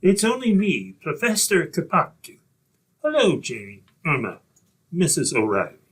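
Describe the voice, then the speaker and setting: Radio comedy professor played by a man: older, husky, animated, a charming mischievous tease. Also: on the high side, normal speed, exaggerated voice. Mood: flattering. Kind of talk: old-time radio comedy acting.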